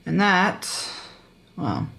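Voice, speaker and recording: An actress as a jaded small-town sheriff in an audio drama. She is a woman with a blunt, dry delivery, gruff and world-weary. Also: lowish voice, very animated, very slow, fairly bright tone, heavily acted.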